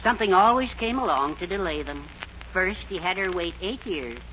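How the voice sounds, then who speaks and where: A man's voice: sits high, lively intonation, normal speed, pushed and bright. An actor playing a genial, wry, middle-aged fatherly American man in a 1940s radio comedy. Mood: wry, advising. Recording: narrow-band old radio recording, faint noise.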